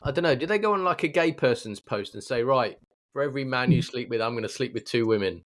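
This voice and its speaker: A man co-hosts a podcast. His voice sits at a middling pitch and he speaks in an easy, conversational style. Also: lively intonation, fast talker, fairly bright tone. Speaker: podcast co-host. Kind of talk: casual chat.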